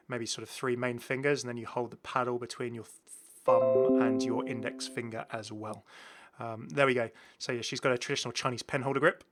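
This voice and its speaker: A man's voice: medium pitch, a bit bright. A man hosting a gaming video in a casual, chatty commentary, rambling and repeating his phrases. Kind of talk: casual commentary on game cosmetics.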